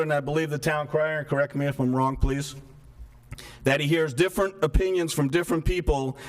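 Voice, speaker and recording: Soft-spoken man, slightly high voice, resident at a council meeting's public comment, earnest, leans on rhetorical questions. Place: town hall meeting room. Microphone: microphone stopped working partway.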